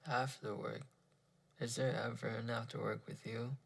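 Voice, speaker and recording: A man voicing a lonely, loving wife in a horror story, pleading and resigned, with a gravelly voice. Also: medium pitch, level tone, moderate pace. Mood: reluctant, fond. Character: lonely pregnant wife, weary, reluctant but loving. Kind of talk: dramatized horror narration dialogue.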